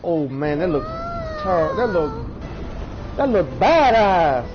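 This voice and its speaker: A woman's voice: fairly low pitch, very animated, slow pace, slight background noise. A woman giving casual gameplay commentary, reacting in short, slangy bursts. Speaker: gamer. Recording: dull lo-fi sound. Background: game music.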